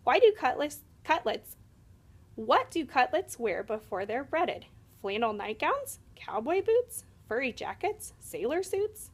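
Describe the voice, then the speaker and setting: A woman's voice: high-pitched, very animated, unhurried, slightly rough, exaggerated voice. A woman voicing a stubborn, curious young girl in a storybook, singsong and matter-of-fact. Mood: singsong, playful.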